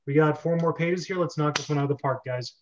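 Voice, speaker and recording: A man's voice: fairly high pitch, quick pace, a little hiss or hum. A young man casually directing a script reading as host and reading out stage directions on a group video call. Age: young adult. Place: small bedroom, on a video call. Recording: dull lo-fi video-call audio.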